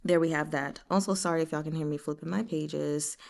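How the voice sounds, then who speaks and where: A woman speaks with a clean, smooth tone that sits a little low for a female voice. She hosts a read-along in a casual, chatty way. Her delivery is expressive and digressive, wandering into little asides as she shares her thoughts. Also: brisk. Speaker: host of a book read-along. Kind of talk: casual chatty book discussion.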